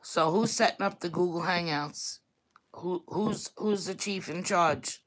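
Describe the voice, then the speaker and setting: A woman speaking in a very low, deep voice with a thick Staten Island New York accent. She chats bluntly and loosely to her viewers as if on a livestream, laughing now and then. The sound is a plain home computer mic. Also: measured pace, rough recording. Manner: chatty and blunt, laughs often. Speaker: YouTube vlogger. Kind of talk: casual livestream chat to viewers.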